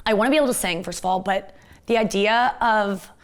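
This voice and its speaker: A woman comedian with a husky, gravelly voice and strained vocal cords, chatting fast and candidly in an interview. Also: mid-range voice. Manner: rapid, candid, self-deprecating talk with many fillers. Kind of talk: casual fast-talking interview chat.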